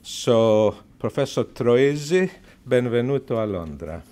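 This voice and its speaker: A man's voice: mid-range voice, animated, a little slow, dark tone, slight background noise. A man chairing an event in a lecture hall, politely introducing the keynote speaker. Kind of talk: formal introduction.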